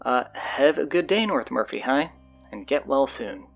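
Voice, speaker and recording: A man playing a flustered, sardonic stand-in high school announcer, rambling hesitantly and casually through audio drama announcements. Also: animated, measured pace, vocal fry, dull audio, soft background music.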